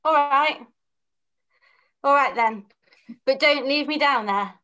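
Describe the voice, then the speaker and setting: A woman's voice: high voice, slow pace, pushed and bright, exaggerated voice. A woman playing a plucky, wary village boy in an amateur pantomime read-through, questioning and theatrical. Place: indoors at home, on a video call.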